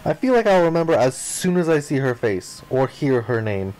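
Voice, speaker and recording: A man's voice: mid-range voice, normal speed, low-quality recording. A man reading a visual novel's narration and dialogue aloud as a playthrough host, expressively acting out the lines. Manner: expressive, acts out the protagonist's lines.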